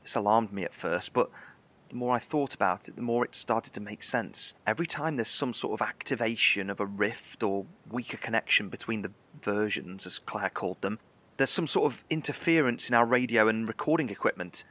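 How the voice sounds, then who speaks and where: An actor playing a measured, articulate male scientist survivor, broadcasting explanations from a bunker in a horror audio drama. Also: lowish voice, fairly bright tone. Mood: measured, concerned. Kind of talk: in-character scripted radio broadcast monologue.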